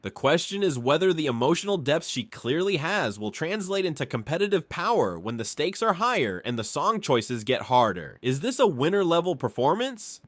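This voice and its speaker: A man with a slightly high voice reads scripted commentary in very animated intonation. His phrasing is measured, articulate and emphatic, and the sound is dry and close. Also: dry recording. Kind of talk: scripted reaction commentary narration.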